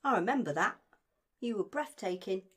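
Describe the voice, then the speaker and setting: A woman's voice: medium pitch, lively intonation, normal speed, husky. A woman playing a cheeky, eager young working-class shop girl in an audio drama.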